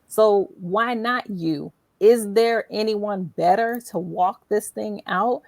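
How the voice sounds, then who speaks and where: A middle-aged woman hosting a conversational interview in an animated, encouraging and emphatic way. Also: medium pitch, slow, no echo. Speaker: show host.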